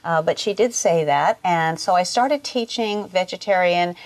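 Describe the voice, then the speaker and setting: A middle-aged woman, founder of a culinary school, speaking earnestly and reflectively in an interview, pausing often on fillers. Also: fairly low pitch.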